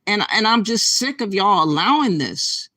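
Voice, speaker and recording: A woman's voice: medium pitch, lively intonation, moderate pace, pushed and bright, no echo. Indignant woman ranting, close and dry. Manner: indignant, ranting, addresses viewers as y'all. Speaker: commentary channel host. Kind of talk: reaction commentary.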